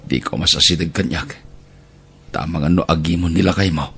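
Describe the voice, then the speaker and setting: A man acting a wronged, remorseful Ilocano husband in an audio drama. His voice is low and sharply forceful, quick to flare with feeling. Noise sits faintly behind the recording. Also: vocal fry. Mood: remorseful, firm.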